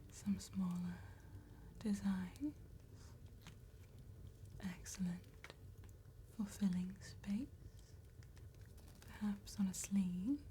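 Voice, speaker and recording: A gothic vampire tattooist acted by a woman whispers in a soft, dark, breathy female voice. She speaks very slowly and deliberately, with attentive courtesy, as in an ASMR fantasy roleplay. Noticeable ambient noise sits behind the whisper. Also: medium pitch. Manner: slow, deliberate, gentle phrasing with long pauses.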